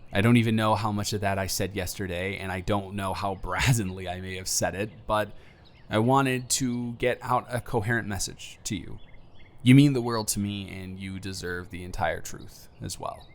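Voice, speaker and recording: A man performs an earnest young man in an intimate audio drama, baring his feelings to a close friend. His voice sits fairly low. He speaks hesitantly and with open vulnerability, anxious but sincere. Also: fairly even intonation, normal speed, close to an everyday voice. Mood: tender, confessional. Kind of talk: emotional roleplay audio acting, intimate monologue to the listener.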